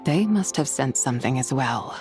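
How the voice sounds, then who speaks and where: A woman playing a composed, courteous scholarly lady in a video game, speaking in a formal, measured, old-world manner. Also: a bass voice, expressive, a little mellow, soft background music. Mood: grave, thoughtful. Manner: formal, measured, archaic phrasing.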